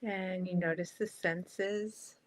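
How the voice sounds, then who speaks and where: A woman guiding a meditation in a calm, soft, spacious manner, leaving long pauses between short phrases. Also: lowish voice. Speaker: meditation guide.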